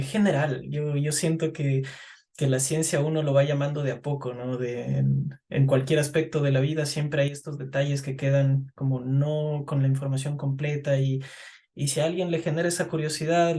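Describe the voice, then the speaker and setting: A middle-aged man from Ecuador speaks as a guest in an interview, in a voice pitched a little higher than most men. He talks in a thoughtful, halting way and often repeats words while he gathers his thoughts. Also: dark tone. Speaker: guest chemist. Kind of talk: interview answers.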